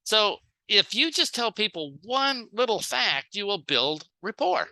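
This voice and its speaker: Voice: medium pitch, big pitch swings, slow pace, vocal fry, bright, forceful tone, poor audio. A speaker giving a sales training seminar in short plain phrases, acting out little example dialogues. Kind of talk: seminar talk in short phrases, paused for an interpreter.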